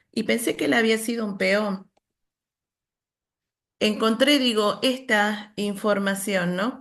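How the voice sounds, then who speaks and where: A woman leads a workshop. She talks in a reflective, unhurried way and tells stories as she goes. She often adds a questioning "¿no?" to check in with her listeners. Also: medium pitch. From Argentina. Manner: reflective, storytelling, many "¿no?" tags. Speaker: workshop leader. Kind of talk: online workshop presentation.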